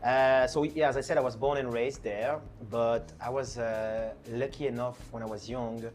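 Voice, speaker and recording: A man's voice: mid-range voice, fairly even intonation, normal speed, faint music behind. A man from France with a French accent, a DJ chatting casually in an interview, with lots of fillers and restarts. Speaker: DJ and producer guest.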